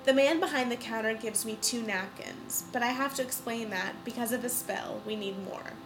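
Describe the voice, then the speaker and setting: A woman hosting a podcast, congested from a cold, chatting and explaining in a clear, casual way. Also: fairly high pitch, moderate pace, noisy background. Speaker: podcast host. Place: indoors.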